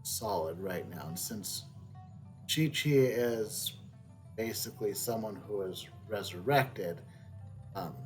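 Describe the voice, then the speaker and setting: Slow, halting man streaming a game. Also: fairly low pitch. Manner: halting, thinking aloud. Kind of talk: casual live game commentary. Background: soft game music.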